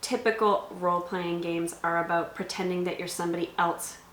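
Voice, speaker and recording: A woman co-hosting a casual game review, explaining things in a measured, conversational way as the game master. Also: lowish voice, level tone, fairly bright tone. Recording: echoey room, faint background noise.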